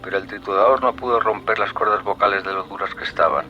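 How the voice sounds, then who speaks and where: An actor with an accent from Spain playing a calm serial killer who recounts his crimes in a measured, sardonic way, in a radio drama. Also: fairly low pitch, lo-fi sound, faint music behind. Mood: chillingly matter-of-fact. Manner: measured, coldly matter-of-fact, darkly sardonic. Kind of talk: Spanish radio drama acting.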